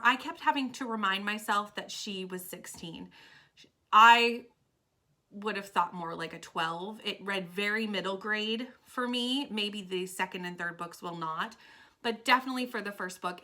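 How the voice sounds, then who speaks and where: A woman's voice: mid-range voice, pushed and bright. A woman talking casually and enthusiastically to camera as a book vlogger, with emphatic delivery.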